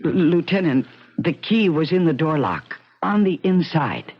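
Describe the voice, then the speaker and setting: Casting: fluttery rooming-house landlady, husky voice leaping up and down, anxious, courteous, vintage radio mystery style. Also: deep voice, sing-song, a little slow, heavily acted. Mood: disbelieving. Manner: hesitant, stammering, fluttery. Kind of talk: 1970s radio mystery acting. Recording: dull vintage 1970s radio broadcast.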